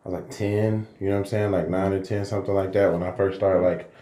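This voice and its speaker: A man from San Antonio, Texas, a rapper guest on a podcast, talking relaxed and slangy. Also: sits low, fast, reverberant. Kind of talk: casual interview talk.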